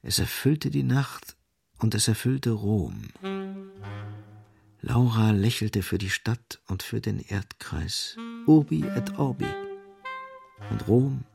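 Radio drama narrator: grave, gravelly, literary, with music beneath. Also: male voice, lowish voice, big pitch swings, slow, long pauses, dark tone, full character voice. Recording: music underneath.

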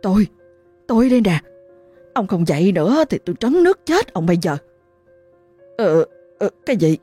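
A woman's voice: medium pitch, big pitch swings, moderate pace, dark tone, soft background music. A woman voicing a terrified mother, pleading and stammering in panic. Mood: frantic. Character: frantic mother, terrified and desperate.

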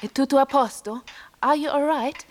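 An actress with a German accent playing a fussy, class-conscious tourist wife in a radio play, eager and over-polite. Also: female voice, high voice, moderate pace, lightly acted. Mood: anxiously polite.

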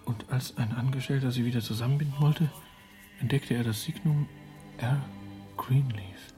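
A man's voice: mid-range voice, normal speed, mellow, faint music behind, close to an everyday voice. Actor as a tense, brooding man in radio drama. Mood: grave. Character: anxious, agitated man prone to brooding suspicion. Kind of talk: radio play acting.

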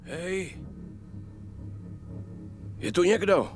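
An actor playing a firm, commanding yet concerned investigating officer in a radio drama. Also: male voice, sits high, faint music behind, natural voice. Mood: alert.

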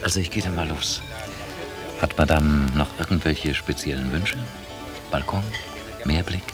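A man's voice: rumbling low, a little slow. An actor playing a dry, teasing, mildly impatient male partner in naturalistic German radio drama dialogue. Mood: breezy, teasing. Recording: 1990 radio drama from cassette, music behind.

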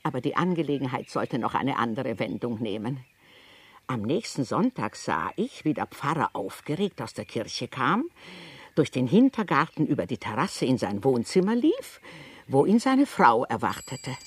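A woman's voice: a bass voice, big pitch swings, moderate pace, slightly rough, heavily acted. A woman acting in a radio drama as a shrewd, worried elderly village amateur detective, speaking and narrating precisely. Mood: expressive, storytelling. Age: older. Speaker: actor and narrator in a detective play.

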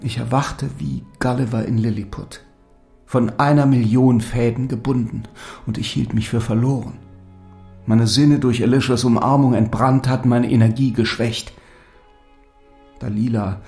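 An actor playing a lovesick, despairing young lord who speaks in earnest, solemn, literary German drama style. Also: male voice, mid-range voice, steady tone, measured pace, vocal fry, darkish tone. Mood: melancholy confession. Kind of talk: German audio drama acting. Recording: music under the voice.

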